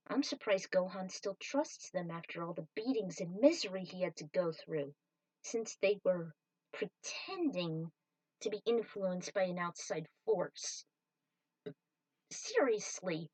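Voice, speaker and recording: A woman's voice: medium pitch, a little mellow, dry recording, recorded from a distance, low-quality recording. A woman giving an author's commentary on her own fan fiction story.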